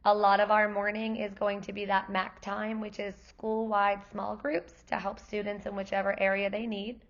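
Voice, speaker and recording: Teacher lady losing her voice, kinda gravelly and worn, friendly explaining to parents, a bit lo-fi. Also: mid-range voice, flat delivery, moderate pace. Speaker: kindergarten teacher. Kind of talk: parent night presentation.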